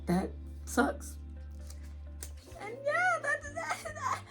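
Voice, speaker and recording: A woman in her twenties chatting casually and excitedly, with giggles, as a book vlogger. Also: very high voice, drawn-out words, loud music behind the voice. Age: 20s. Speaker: indie author and book vlogger. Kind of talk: casual chatty video blog, book promotion.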